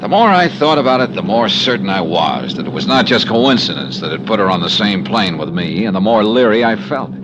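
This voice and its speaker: An actor playing a wry, self-assured American investigator in a 1950s radio detective drama, with a clipped hard-boiled delivery. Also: male voice, on the low side, expressive, brisk, few pauses, noisy background, lightly acted. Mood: wry narrating.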